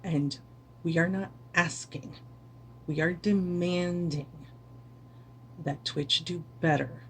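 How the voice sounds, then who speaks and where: A woman's voice: fairly low pitch, fairly even intonation, slow, slight background noise. A weary woman streamer giving an earnest, impassioned speech to camera, repeating words for stress. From United States.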